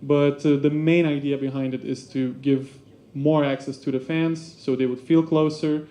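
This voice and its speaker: Man, seminar talk, mellow dark tone, somewhat high, lots of fillers and restarts. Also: little pitch movement. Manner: halting, many fillers and restarts. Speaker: basketball club representative presenting. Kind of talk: seminar presentation.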